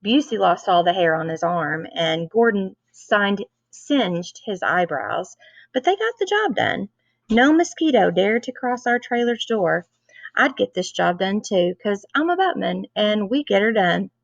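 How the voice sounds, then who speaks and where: A woman narrates a children's book with lively expression. Her voice has a gravelly fry at the edges. The recording is dry and a little dull. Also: medium pitch, measured pace, dry recording. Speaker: read-aloud reader.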